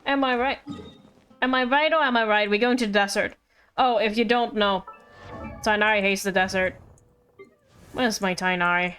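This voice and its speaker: A woman's voice: mid-range voice, normal speed, long pauses, a bit bright. A woman doing casual live commentary on a game, chatty, playful and rambling. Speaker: game livestreamer. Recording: livestream with game music behind. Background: game music.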